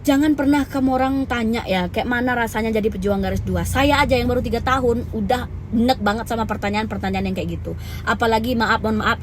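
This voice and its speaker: Young woman, raised pitch, chatty, blunt, emphatic, candid storytelling. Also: background noise. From Lampung, Indonesia. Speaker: vlogger.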